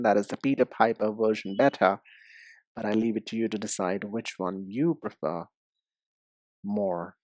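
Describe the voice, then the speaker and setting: A man teaching English pronunciation, explaining step by step in a deliberate, didactic way and speaking directly to the learner. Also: lowish voice, a little mellow, dry recording. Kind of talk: instructional lesson with demonstrations.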